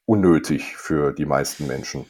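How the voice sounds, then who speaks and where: Man, low voice, casual chat, hesitant, wordy. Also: moderate pace, darkish tone, breathy edge. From Germany. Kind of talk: casual conversation. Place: at home.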